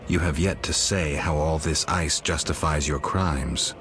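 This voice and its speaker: An actor playing a stoic monster hunter in a fantasy game, curt and sardonic in manner. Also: male voice, extremely low pitch, fairly even intonation, measured pace, fairly bright tone. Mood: skeptical. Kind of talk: fantasy video game acting. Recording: music behind the voice.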